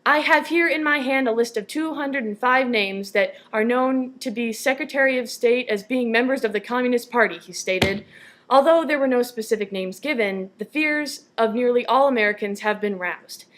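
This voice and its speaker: A young woman narrates scripted news items as an anchor. Her voice sits slightly high and has an everyday tone. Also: normal speed, a bit bright. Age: young adult.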